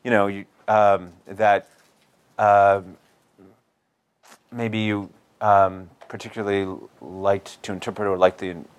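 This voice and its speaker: A man interviewing a guest in a live literary conversation, halting and searching for words as he forms questions. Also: fairly low pitch, level tone, clean tone.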